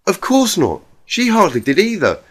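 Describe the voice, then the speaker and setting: Expressive man narrating a dramatic story. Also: high-pitched, normal speed, husky. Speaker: fan fiction reader.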